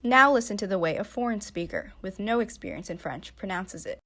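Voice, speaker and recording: A woman's voice: mid-range voice, lively intonation, fairly quick, sharp tone. A young adult woman reading a scripted narration for a student slideshow presentation. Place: voice-over recorded for slides.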